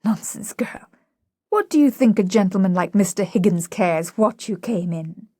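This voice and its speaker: A woman's voice: mid-range voice, normal speed, mellow, dry recording, studio quality, close to an everyday voice. A woman voicing a severe, scornful housekeeper who scolds, in a play reading. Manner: stern, scolding.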